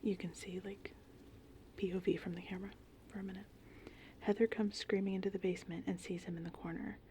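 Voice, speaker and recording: A woman doing casual, meandering ASMR in a breathy, whispered and softly spoken voice. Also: mid-range voice, brisk, a little hiss or hum. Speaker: ASMR host. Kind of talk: ASMR rambling movie summary. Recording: steady rain and thunder ambience behind. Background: gentle rain and thunderstorm sounds.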